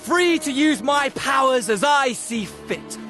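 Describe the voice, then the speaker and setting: A man's voice: very high-pitched, expressive, slow pace, heavily acted. An actor playing a flamboyant, nihilistic game villain, a gleefully mad showman who speaks with theatrical mockery. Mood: rising glee. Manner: theatrical, mocking, swinging from hushed drawl to manic cries. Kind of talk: video game cutscene acting. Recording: music behind the voice.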